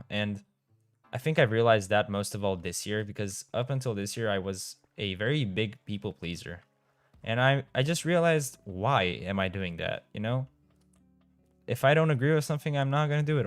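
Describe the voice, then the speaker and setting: A man's voice: on the low side, no echo. A young man from Romania with a Romanian accent, chatting casually with his live-stream audience while playing and tripping over his words now and then. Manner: chatty, reads chat aloud, trips over his words. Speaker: game streamer.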